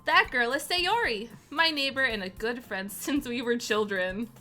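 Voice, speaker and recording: A woman's voice: on the high side, moderate pace, pushed and bright, faint music behind. A woman playing a sardonic, grumbling teenage schoolboy who narrates an anime visual novel with dramatic flair. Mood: wry.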